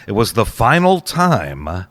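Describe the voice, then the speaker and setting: A man's voice: fairly low pitch, huge pitch swings, slow, a bit bright, no echo. An American man hosting an oldies radio show with casual, upbeat, chatty patter. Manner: upbeat, chatty, chuckles between phrases. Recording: radio aircheck with music behind. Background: guitar and oldies music.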